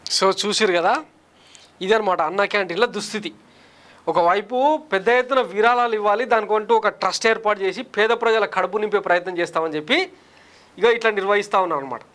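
A man's voice: high voice, fast, fairly bright tone. A man in his thirties presenting political news commentary in a studio on a lapel mic, sarcastic and combative, firing off rhetorical questions. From Andhra Pradesh, India. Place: studio in front of a large screen.

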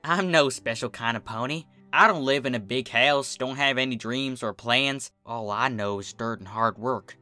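A man's voice: medium pitch, moderate pace, few pauses, sharp tone, soft background music, lightly acted. A man voicing a humble, kindly female farm pony. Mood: humble, doubtful. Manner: folksy, soothing, plain-spoken.

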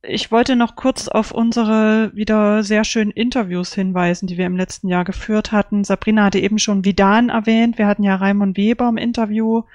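A woman with a medium-pitched voice speaks as a podcast co-host in a casual panel chat. The sound is dry. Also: little pitch movement, a little fast, hardly any pauses, a little mellow, no echo.

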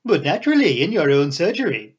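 A man's voice: on the high side, animated, moderate pace. A man voicing a fussy, genial foreign detective with florid, formal phrasing in an audiobook. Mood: genial, sly. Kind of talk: audiobook character voice.

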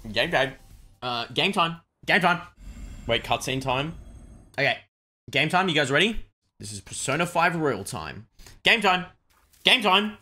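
An excitable video game streamer chatting exclamatorily on a livestream. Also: medium pitch, unhurried, pauses often.

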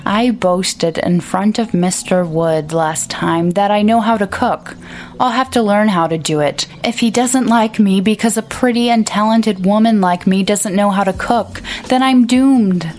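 A woman's voice: medium pitch, a little slow, a little mellow, slight background noise, close to an everyday voice. A woman doing a vain, whiny young woman. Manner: whining, pleading.

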